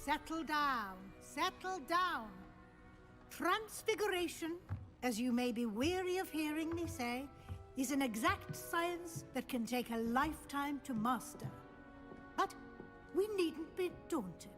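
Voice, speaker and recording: A woman's voice: high voice, expressive, a bit bright, faint music behind, exaggerated voice. Stern older female magic professor. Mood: commanding, wry. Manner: formal, measured, dry. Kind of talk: video game cutscene acting.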